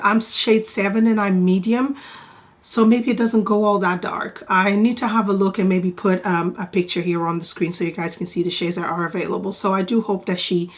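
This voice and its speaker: Congested woman chatting through a beauty review. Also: medium pitch. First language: Portuguese. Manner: chatty and rambling, repeats words for emphasis. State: congested from a cold. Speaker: beauty vlogger. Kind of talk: casual product review to camera.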